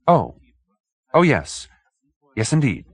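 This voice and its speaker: A man voicing a timid, formal little gentleman in an audiobook, fussy, hesitant and over-polite. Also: medium pitch, huge pitch swings, slow pace, slightly rough, no echo, pitched above the performer's own voice, heavily acted. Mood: nervous, polite.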